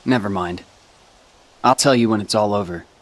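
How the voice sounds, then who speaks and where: Man playing a low-voiced brooding teen warrior. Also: moderate pace, lightly acted. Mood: reserved, wry. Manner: reserved, serious, understated. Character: cool, brooding older teenage boy warrior. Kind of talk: English video game voice acting.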